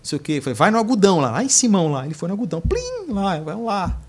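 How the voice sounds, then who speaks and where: A man's voice: sits high, quick pace, husky. A Brazilian man, the guest's husband, telling a story casually and acting out the quotes at a desk mic in a small studio. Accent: Brazilian Portuguese. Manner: lively, quotes others with animated voices.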